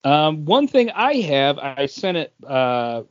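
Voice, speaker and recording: A man co-hosting a podcast in casual chat, telling things in a halting, conversational way. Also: a little high, very animated, no echo. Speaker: podcast co-host. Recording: dull lo-fi audio.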